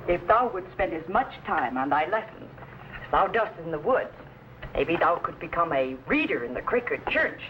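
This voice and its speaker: A woman playing a firm, loving Quaker pioneer mother in an old-time radio drama, with measured, old-fashioned thee-and-thou speech. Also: fairly low pitch, sing-song. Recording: dull, noisy old radio recording.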